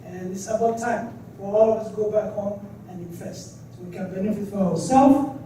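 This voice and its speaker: Man from Somalia, summit speaker; earnest, halting delivery; high, dark voice, roomy and distant. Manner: earnest, with many fillers and restarts. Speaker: restaurant owner speaking at a trade summit. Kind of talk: impromptu conference remarks. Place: large conference hall. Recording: echoey hall, distant mic, faint noise.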